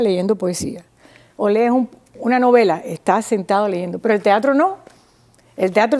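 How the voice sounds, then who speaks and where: Cuban woman explaining in halting phrases. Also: fairly high pitch, warm tone. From small town in Cuba. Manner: didactic, halting, checks with listener using tag questions. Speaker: guest speaker on Cuban theatre history. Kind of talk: informal explanatory talk.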